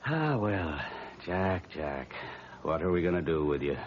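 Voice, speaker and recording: An actor playing a weary, wisecracking police desk sergeant in old-time radio drama, with folksy, sardonic banter. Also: male voice, sits low, big pitch swings, unhurried, dull audio, background noise. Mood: wry, weary.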